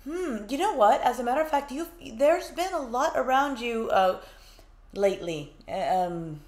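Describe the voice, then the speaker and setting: A woman's voice: on the high side, animated, measured pace, darkish tone. A woman co-hosting a live psychic podcast, casually reading out viewers' comments and questions. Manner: casual, reads comments aloud. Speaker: psychic medium podcast co-host. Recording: echoey room.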